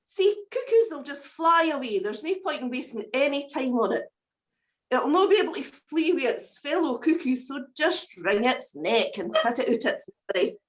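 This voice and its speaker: The scornful older brother from a Scottish folk tale, scolding slowly and firmly, as a woman storyteller puts him on. He sounds impatient and dismissive of soft hearts. The Scottish accent is broad. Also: high voice, big pitch swings.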